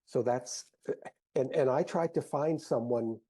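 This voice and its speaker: An American man speaking as an interview guest, a professor recounting things in a halting, casual way. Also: mid-range voice, dark tone. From United States.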